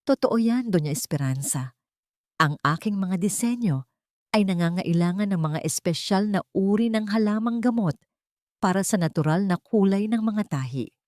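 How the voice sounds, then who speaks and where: A cold, glamorous woman out for revenge, as played by a female narrator, speaks in a dry, close recording. She is haughty and measured, with threat in her words, in the style of an audio drama. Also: medium pitch, animated, a little mellow, no echo, natural voice. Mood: cool, calculating.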